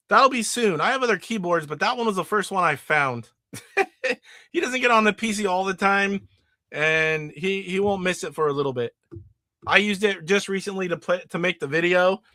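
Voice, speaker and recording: Man, sick, nasally, high bright voice, dry close sound, casual rambling game demo. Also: no echo. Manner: rambling, demonstrating games live. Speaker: retro gaming show host. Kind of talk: casual live stream chat.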